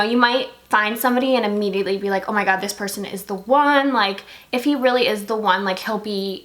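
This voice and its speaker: Young female beauty vlogger from Singapore, bright tone, rambling casual chat. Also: medium pitch. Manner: chatty, lots of "like" fillers. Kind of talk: casual chat while doing makeup.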